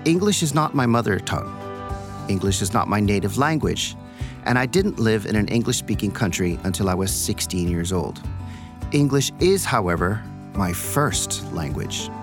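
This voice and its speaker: A man hosting an interview podcast, speaking in a measured, curious way, first reading a scripted intro and then asking questions. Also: on the low side, expressive, music in the background. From raised in many countries, born in Belgium. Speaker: podcast host.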